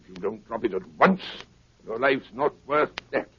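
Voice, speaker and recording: A man's voice: mid-range voice, animated, normal speed, natural voice. Man acting a menacing, swooping criminal mastermind. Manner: formal, threatening. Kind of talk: 1930s radio acting. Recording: muffled, crackly 1930s radio recording.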